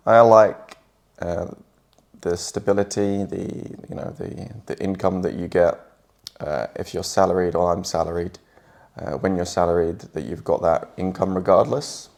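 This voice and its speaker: An English man talking casually with his partner, relaxed and hesitant, with many fillers. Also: sits low, normal speed, vocal fry. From England. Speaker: guest partner. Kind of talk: casual chat.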